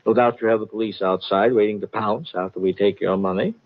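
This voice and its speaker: An actor playing a genteel, smug older colonel and swindler, courtly and florid, in 1950s radio mystery style. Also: male voice, on the low side, steady tone, a little fast, natural voice. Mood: suave, smug. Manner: courtly, florid, mock-regretful. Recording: dull 1950 radio broadcast.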